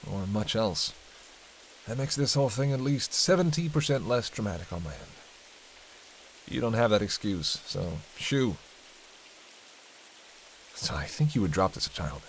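A man's voice: on the low side, unhurried, pauses often, rough recording. A man playing a hungry, cranky vampire in a roleplay audio drama, bantering sardonically and intimately with a listener cast as a hunter. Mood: defiant, sardonic. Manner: sardonic, teasing, dry banter. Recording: steady rain and hiss behind.

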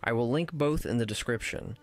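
A man giving scripted voice-over narration for a technical how-to video, in a measured, precise, instructional way. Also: medium pitch. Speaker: tutorial narrator.

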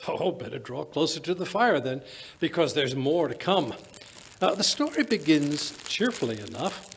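Radio-play elderly British doctor, acted by an older man: avuncular rambler, highish gravelly voice. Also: big pitch swings, normal speed, exaggerated voice. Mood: relishing storyteller. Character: genial elderly British doctor, storytelling old raconteur. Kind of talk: old-time radio drama acting. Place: small stage in a museum, live performance. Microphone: vintage-style stand microphone.

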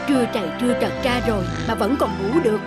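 A woman voicing a poor, hardworking elderly village mother who nags and scolds in an animated folk tale. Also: fairly high pitch, fast talker, close to an everyday voice. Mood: scolding. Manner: nagging, scolding. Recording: background music under the voice.

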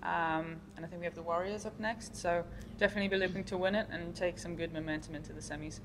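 A woman's voice: lowish voice, steady tone, fast talker, background noise. A young woman cricket player giving casual answers at a post-match press conference. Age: young adult. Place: indoor press conference room.

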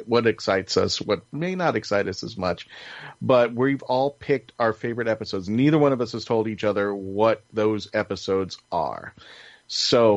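A man's voice: fairly low pitch, no room sound, muffled recording. A man hosting a casual podcast panel, introducing the discussion and handing over to panelists. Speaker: podcast host.

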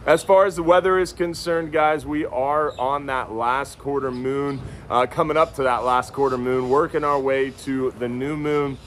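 A man's voice: medium pitch, fairly even intonation, moderate pace, few pauses, a little hiss or hum. A man in his thirties hosting a casual fishing report outdoors on a beach, speaking into a phone camera at arm's length, upbeat and repeating words for emphasis. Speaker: marina fishing report host. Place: outdoors on a sunny beach by a bridge.

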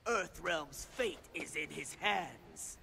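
An actor playing a sinister, imperious old sorcerer who rules a tournament in a video game, theatrical, pompous and menacing. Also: male voice, on the high side, huge pitch swings, slow, creaky, pushed and bright, slight background noise, full character voice. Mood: grandiose. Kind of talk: villainous video game cutscene acting.

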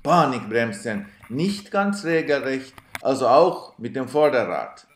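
Guy narrating, dry self-mocking tone, slow pace, roomy reverb. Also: mid-range voice, lively intonation. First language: Swedish. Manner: dry, self-ironic storytelling. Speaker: cyclist narrating his trip video. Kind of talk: scripted voice-over narration.

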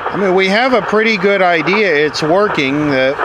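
A man giving casual, matter-of-fact commentary on a hands-on demonstration, unhurried, recorded outdoors. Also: high-pitched, lively intonation. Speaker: video host. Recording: loud wind and radio static noise. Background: wind noise, hissing radio.

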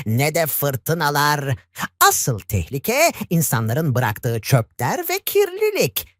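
A man's voice: sits high, dry recording, clean studio sound, heavily acted. A man voicing a wise, patient old dolphin leader who teaches the young in a children's story. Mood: grave, instructive. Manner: patient, instructive.

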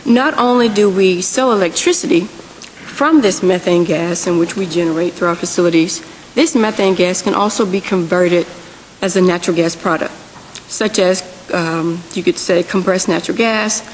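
A woman's voice: fairly low pitch, normal speed. A woman in her thirties presenting on a policy panel into a table microphone in a large hearing room, earnest and correcting herself as she goes. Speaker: panel speaker. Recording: long hall reverb, faint hiss.

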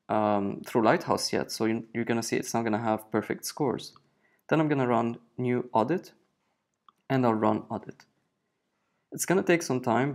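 A man in his thirties talking through a software tool in a relaxed screencast, with frequent fillers as he explains. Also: mid-range voice. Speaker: web developer presenting a screencast. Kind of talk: casual screencast walkthrough.

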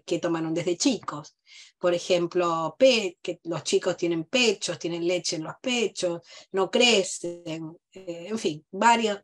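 Emphatic woman lecturing on a call. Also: lowish voice. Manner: emphatic, lists examples with pauses. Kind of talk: academic talk on video call. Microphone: video call.

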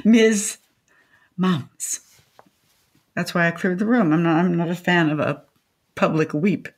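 A woman's voice: fairly low pitch, steady tone, a little slow. An older woman playing a brazen, cranky widowed grandmother in a comic radio play, acerbic, theatrical and contrary. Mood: acerbic, dry. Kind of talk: comic audio drama acting.

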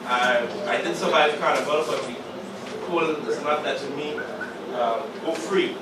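A man in his forties with a sore throat from a cold, giving measured opening remarks as moderator of a panel discussion to a live audience. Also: a little high, heavy background noise. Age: 40s. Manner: measured, halting, with many pauses and fillers. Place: small meeting room with a live audience. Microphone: podium mic, filmed from the back of the room. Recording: echoey room recorded from far off.

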